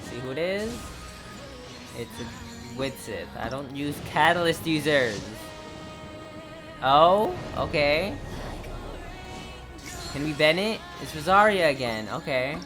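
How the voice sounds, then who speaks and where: A gamer giving casual gameplay commentary in halting, muttered self-talk. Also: mid-range voice, expressive. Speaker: gaming video creator. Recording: game music behind.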